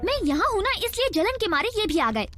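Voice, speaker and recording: Brash teen girl, squeaky-high, lively and cocky, music underneath. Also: fast talker, full character voice. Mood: cocky, excited. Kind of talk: Hindi cartoon dub.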